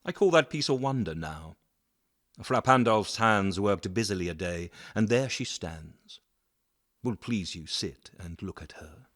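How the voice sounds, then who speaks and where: A man playing an imperious, menacing Renaissance Duke, reciting verse in a measured, caressing manner in an audio drama. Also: on the low side, animated, a bit bright, no echo, studio quality. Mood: proud, measured.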